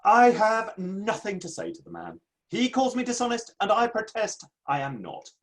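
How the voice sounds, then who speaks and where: A man's voice: high voice, huge pitch swings, normal speed. A man playing a prickly, proud and indignant Victorian writer in a dramatic play reading.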